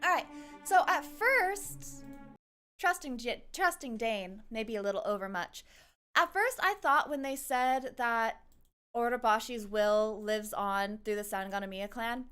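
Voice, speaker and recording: A woman's voice: on the high side, expressive, a little slow, fairly bright tone, smooth, faint music behind. A woman doing casual livestream commentary, rambling and thinking aloud as she reacts to a game. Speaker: streamer reacting to a game trailer.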